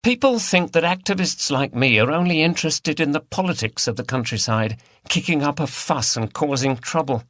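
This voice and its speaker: A man's voice: on the high side, lively intonation, normal speed, hardly any pauses, a bit bright, dry recording, studio quality, pitched above the performer's own voice. A man voicing an earnest, outspoken woman activist in an audiobook, with a frank manner. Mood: earnest, defiant. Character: earnest, feisty, outspoken female countryside activist. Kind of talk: audiobook character dialogue.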